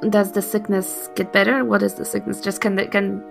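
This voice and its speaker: A woman's voice: mid-range voice, flat delivery, a little fast, gravelly, music in the background. A woman player in a tabletop game, improvising casually and breaking off to comment on herself.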